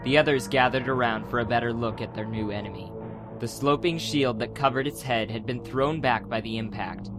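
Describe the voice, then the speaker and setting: A man's voice: medium pitch, a little fast. A man narrating a fantasy adventure story as a scripted, dramatic audiobook. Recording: music behind the voice.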